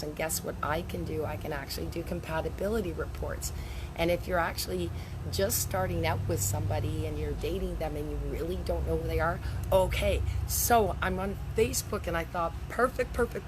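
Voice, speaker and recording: A woman in her fifties, losing her voice and a bit raspy, chatting casually in a live video from outdoors by a lake. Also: sits low, noisy background. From Canada. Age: 50s. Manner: chatty and rambling, laughs between points. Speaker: handwriting analyst.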